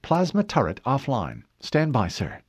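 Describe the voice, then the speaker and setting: A man voicing a brisk, precise female ship AI giving reports. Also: mid-range voice, huge pitch swings, no echo, studio quality, pitched above the performer's own voice.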